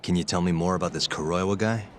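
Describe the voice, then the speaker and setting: An actor playing a cool, dry-witted investigator in a video game, speaking in a terse, laid-back deadpan. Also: male voice, low-pitched, fast, faint music behind, lightly acted. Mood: dryly unfazed. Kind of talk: video game cutscene acting.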